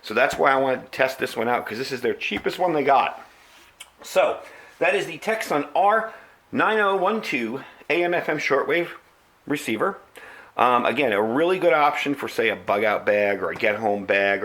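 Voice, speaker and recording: A man's voice: medium pitch, a bit bright. A middle-aged man with an American accent giving a casual, conversational product review, explaining things as he demonstrates them.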